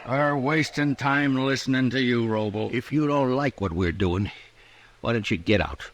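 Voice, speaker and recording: An American actor playing a callous, curt, taunting rancher in a radio western. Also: male voice, mid-range voice, normal speed, a little hiss or hum, close to an everyday voice. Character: menacing, callous Old West lynch-mob rancher. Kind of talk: 1950s radio western acting.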